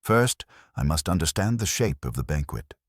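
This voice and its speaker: Man playing imperious male detective, disdainful, precise formal phrasing, very deep, audiobook mystery. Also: sing-song, moderate pace, husky, no echo, clean studio sound, exaggerated voice. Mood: calm, resolute. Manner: precise, pompous, formal wording.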